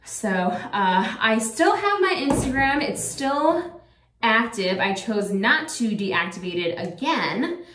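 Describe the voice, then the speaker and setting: A woman's voice: a little high, sing-song, slow, noisy background. A woman in her thirties talking casually to her viewers in a rambling, chatty way, full of fillers. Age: 30s. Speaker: vlogger. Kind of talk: casual chat to camera. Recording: echoey room, a bit far from the mic.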